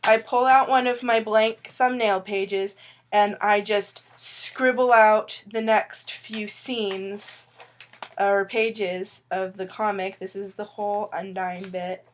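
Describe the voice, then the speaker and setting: A woman's voice: medium pitch, steady tone, slow, slight background noise. A woman who is ill with a cough gives a casual, rambling tutorial on making comics, joking at herself and a bit loopy. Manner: loopy, rambling, self-mocking. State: sick with a cough. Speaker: webcomic artist sharing tips. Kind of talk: casual tutorial talk to viewers. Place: indoors at a wooden table.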